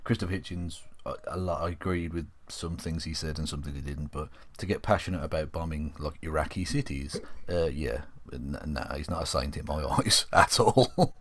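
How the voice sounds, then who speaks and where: A man co-hosting a casual, opinionated panel chat, speaking passionately and haltingly, repeating words as he builds his point. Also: rumbling low, a little hiss or hum. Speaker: occasional co-host and YouTuber.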